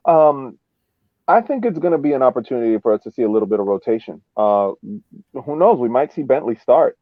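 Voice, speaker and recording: A man giving casual sports commentary on a podcast, haltingly thinking aloud over thin call audio. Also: mid-range voice, big pitch swings, no room sound, phone-quality sound. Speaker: podcast co-host.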